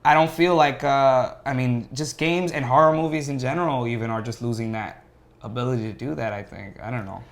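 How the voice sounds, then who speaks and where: A man's voice: medium pitch, brisk. A man in casual, opinionated podcast conversation, speaking with emphasis as one of the panelists. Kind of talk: casual chat.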